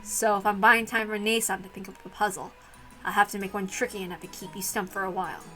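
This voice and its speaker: A woman's voice: mid-range voice, steady tone, quick pace, sharp tone, faint music behind, close to an everyday voice. A woman voicing a cool, confident, teasing puzzle challenger with a dry, self-assured manner, in a visual novel reading.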